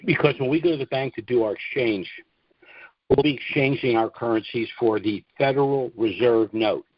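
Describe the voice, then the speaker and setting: An American man speaking deliberately and haltingly in an informal monologue as host of a conference call. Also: mid-range voice, no echo. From United States. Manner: deliberate, halting, frequent throat-clearing. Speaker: conference call host promoting trust services. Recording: dull, dry conference call audio.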